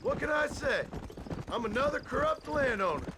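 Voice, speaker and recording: An actor playing a middle-aged American rancher father in a video game, gruff and patient, talking in a folksy drawl with dry humor. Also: very high voice, very animated, normal speed. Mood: wry, warm. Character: gruff, patient rancher father teaching his son to hunt. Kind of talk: video game dramatic acting. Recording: game dialogue over outdoor animal noise.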